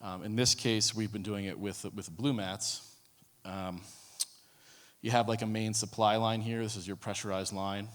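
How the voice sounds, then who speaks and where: A man with a low voice presents to a room, speaking casually and filling pauses with ums and false starts. His voice carries a long hall reverb. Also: fairly even intonation. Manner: casual, many ums and restarts. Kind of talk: conference talk. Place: conference room.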